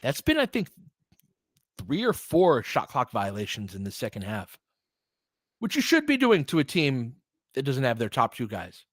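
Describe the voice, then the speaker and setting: A man gives informal play-by-play and analysis of a basketball game in a mid-pitched voice. He thinks out loud in a conversational way, like a streamer chatting through the action. The sound is dry, with very little room in it. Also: huge pitch swings, moderate pace, pauses often, husky, no room sound. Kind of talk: casual live commentary mixed with analysis and chat.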